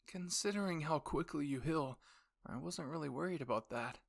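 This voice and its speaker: A man voicing a gentle, earnest teenage boy soldier with big dreams in an anime fan story. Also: a little high, normal speed, vocal fry, voice pushed up. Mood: mild.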